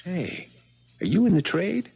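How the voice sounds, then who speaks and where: A man's voice: sits high, sing-song, a little slow, creaky, breathy edge, lo-fi sound, full character voice. A man playing a desperate, shifty small-time thief on the run, in a 1970s radio drama. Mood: sly.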